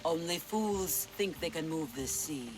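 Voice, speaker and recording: A woman's voice: low-pitched, lively intonation, measured pace, over music. An actress playing a sly, imperious, treasure-loving pirate queen of mature years, with a languid, theatrical delivery in a video game cutscene. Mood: haughty. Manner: languid, commanding, teasing, theatrical.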